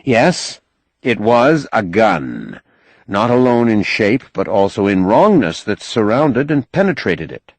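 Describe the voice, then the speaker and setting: A male narrator reads science fiction in a low voice with a husky grain. His intonation moves widely as he tells the story, expressive yet measured, with carefully shaped phrases. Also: dry recording. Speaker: audiobook narrator.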